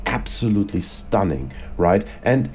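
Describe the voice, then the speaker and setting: A man with a cold talks through a relaxed, opinionated gaming vlog, his voice a little stuffy and lower than most. His tone is mellow and dark rather than bright, and he keeps tossing in "you know" and "right?" as he goes. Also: measured pace, slight background noise. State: has a cold, voice slightly congested. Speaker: gaming YouTuber.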